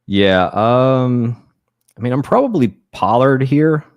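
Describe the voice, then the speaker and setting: Slow, hesitant man on a dry mic. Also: medium pitch, expressive, no room sound, muffled recording. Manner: hesitant, stammering, easygoing. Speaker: guest drafter. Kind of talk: casual chat.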